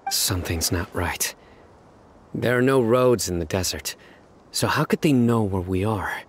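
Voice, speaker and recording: A man playing a stern, calm, analytical desert enforcer in a video game, speaking in a measured, serious, deliberate way. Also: lowish voice, a little hiss or hum. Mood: suspicious. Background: music.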